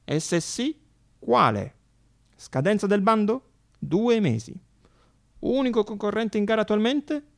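A man narrating a theatrical radio monologue as an actor, with dramatic pauses and repeated phrases. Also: high-pitched, animated, gravelly.

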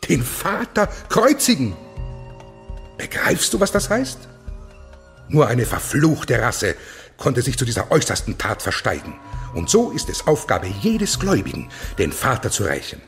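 Haughty zealot eunuch official, radio play, extremely animated declamation, contemptuous, faint music behind. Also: male voice, medium pitch, a little slow. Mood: fanatical, indignant. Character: fanatical, haughty male eunuch official ruling over monsters. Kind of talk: German radio play acting.

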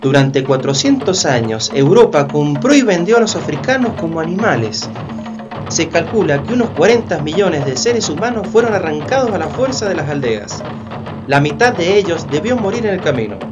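A man's voice: on the high side, big pitch swings, normal speed, highs cut off, over music. A man playing an impassioned, argumentative historian in a community radio play, explaining emphatically and rhetorically. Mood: indignant, didactic. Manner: emphatic, rhetorical questions, didactic. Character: impassioned male historian denouncing slavery, argumentative.

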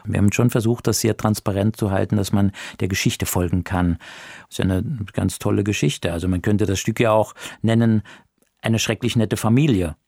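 A man's voice: on the low side, fairly even intonation, a little fast, creaky, a little mellow, no room sound, clean studio sound. A man, a drama director, explaining his work casually in an interview.

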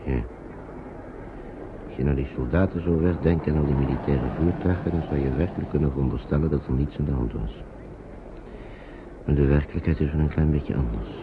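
Deep, worried, kindly uncle, old radio. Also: male voice, a little fast, long pauses. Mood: worried, brooding. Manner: hesitant, muttering, repeats himself. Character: worried kindly man, an uncle minding children. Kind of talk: old Dutch radio play acting. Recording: dull noisy old radio recording.

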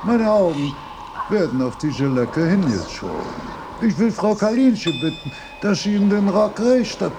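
An elderly, opinionated philosopher in a radio play. His voice is rather high and creaky, swinging widely in pitch. He halts, lectures and fusses, with noise around him. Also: big pitch swings, full character voice. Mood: fussy. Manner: halting, emphatic, pedantic. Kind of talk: radio drama acting.

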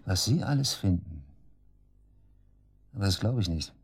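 A man's voice: low voice, lively intonation, measured pace, creaky, a little hiss or hum, exaggerated voice. An actor playing a brash, sarcastic murder suspect under police questioning in a radio drama, crude and defiant in his slangy talk. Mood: defiant, disbelieving. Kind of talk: naturalistic German radio drama acting.

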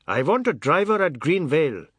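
A man's voice: a little high, very animated, normal speed, a bit bright, breathy edge, no echo, pitched above the performer's own voice, full character voice. A man voicing a brusque, irritable, businesslike Trinidadian family boss in an audiobook, clipped and insistent. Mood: blunt.

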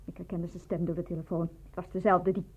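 An actress playing a defensive, suspicious woman in a Dutch radio play, curt and snappish, with a husky voice. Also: fairly low pitch, flat delivery, fast, darkish tone. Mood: defensive, hostile. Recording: narrow, muffled old radio recording.

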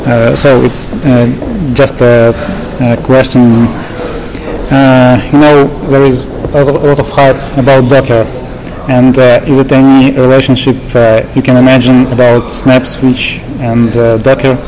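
A man with a Russian accent working as interviewer and translator, speaking in halting pieces with long hesitations. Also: mid-range voice, little pitch movement, a little mellow. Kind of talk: interview questions and consecutive translation. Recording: slightly noisy live recording.

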